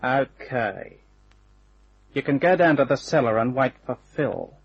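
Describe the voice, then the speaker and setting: A husky smug hired thug from a radio crime play. He takes his time over every slangy threat, menacing and taunting. The recording is dull and narrow, like old radio. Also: mid-range voice, lively intonation, measured pace. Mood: cold menace.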